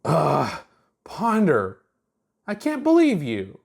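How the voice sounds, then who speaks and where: A man's voice: fairly high pitch, wildly swooping pitch, slow, vocal fry, voice pushed up, exaggerated voice. A man voicing a defensive, easily flustered young monster girl for a story. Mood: indignant. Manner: indignant, easily flustered, stammering.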